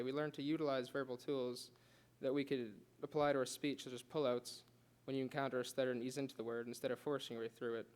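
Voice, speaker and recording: Teen guy reading his class speech quick, earnest, stumbles and restarts now and then. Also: mid-range voice, smooth. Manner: earnest, with occasional stutters and restarts. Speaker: student giving a class speech. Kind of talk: prepared speech read aloud.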